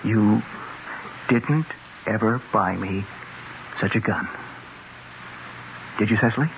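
A man's voice: lowish voice, takes it slowly, gravelly. An actor playing a cornered male politician in a radio drama, defensive and faltering, stumbling over repeated words. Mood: defensive, shaken. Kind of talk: 1970s radio drama acting. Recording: muffled radio recording, faint noise.

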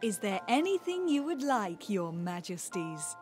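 Unhurried, kindly mother pig. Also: medium pitch, very animated, music in the background. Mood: amused, reassuring. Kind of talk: children's cartoon game acting.

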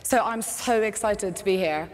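A woman with a Norwegian accent softened by years in Britain delivers a keynote in a chatty, self-deprecating way. Her headset voice carries a long reverb from the big conference hall. Her pitch sits mid-range for a woman. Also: moderate pace. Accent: Norwegian with British English mix. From Norway. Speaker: conference speaker, agency CEO. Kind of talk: keynote presentation. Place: large conference stage.

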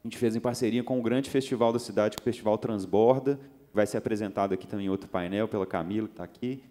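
A man's voice: on the low side, fast talker, dark tone. A young man with a Minas Gerais accent, speaking casually on a conference panel, loose and full of fillers, into a handheld mic on a theater stage. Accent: Minas Gerais Portuguese. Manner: loose, gesturing, many fillers. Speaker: panel speaker, festival organizer.

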